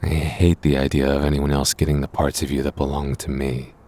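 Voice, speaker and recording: A man's voice: very deep voice, level tone, a little fast, mellow, voice pushed down. A man playing a possessive, affectionate boyfriend in an intimate, teasing roleplay audio. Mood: tender, possessive.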